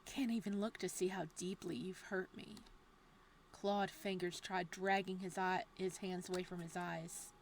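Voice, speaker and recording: A woman reading a horror visual novel's text aloud in a hushed, steady voice. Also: lowish voice, unhurried, gravelly, slight background noise.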